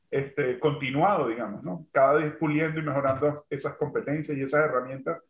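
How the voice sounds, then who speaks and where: A man from Venezuela, a construction entrepreneur speaking as an interview guest. He speaks thoughtfully and fills pauses with "este" and "digamos, ¿no?". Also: medium pitch. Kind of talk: remote interview. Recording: roomy, dull lo-fi sound.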